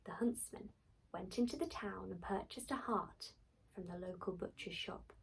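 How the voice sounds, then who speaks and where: A woman telling a fairy tale dramatically, with theatrical delivery and deliberate pauses. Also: medium pitch, creaky, whispered, rough recording. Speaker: storyteller.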